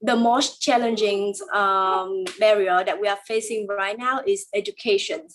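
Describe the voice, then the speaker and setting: A woman interpreting a deaf guest's answers aloud in an interview, in plain careful phrasing with frequent pauses and fillers. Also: mid-range voice, steady tone, a bit bright, a little hiss or hum, low-quality recording. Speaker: sign language interpreter voicing a deaf guest.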